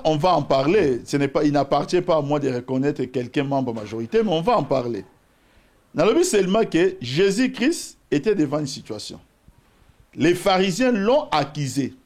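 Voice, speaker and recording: Man, Congolese accent, TV debate guest, argumentative, swooping intonation. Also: on the high side. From DR Congo, Bas-Congo. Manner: animated, argumentative, cites scripture. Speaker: political guest. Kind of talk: televised political debate.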